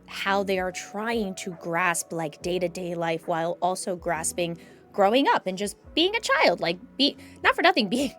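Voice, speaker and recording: A woman's voice: medium pitch, a bit bright. A woman hosting a conversational discussion, explaining things in an earnest, emphatic way. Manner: earnest, emphatic, explanatory, voices imagined quotes. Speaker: podcast host and mental health professional. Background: background music.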